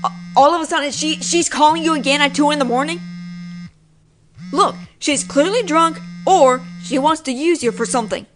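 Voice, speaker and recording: Brief: woman, slightly high voice, close mic, roleplay as a possessive roommate, insistent and teasing. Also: moderate pace. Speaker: voice actress playing a possessive roommate. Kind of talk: scripted one-sided audio roleplay.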